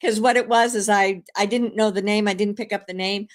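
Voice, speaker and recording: Older woman host, hoarse with a cold, rambling live-stream chat full of fillers. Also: mid-range voice, steady tone, brisk. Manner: rambling, chatty, many fillers and restarts. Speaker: channeler hosting a live stream; grandmother.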